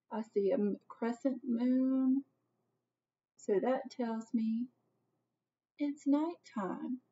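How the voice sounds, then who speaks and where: A woman's voice: sits high, mellow, breathy edge. A woman in her thirties reads a children's picture book aloud expressively and dramatically, like a teacher putting questions to young listeners. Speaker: children's story reader. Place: small home office, indoors. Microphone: computer webcam at desk distance. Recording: dull webcam audio, distant from mic.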